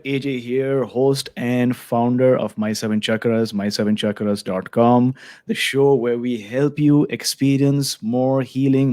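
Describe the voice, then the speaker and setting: A man's voice: mid-range voice, darkish tone, dry recording, clean studio sound. A man hosting a spiritual podcast, reading an upbeat intro and asking questions over a video call. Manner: upbeat, scripted intro with fillers. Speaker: podcast host.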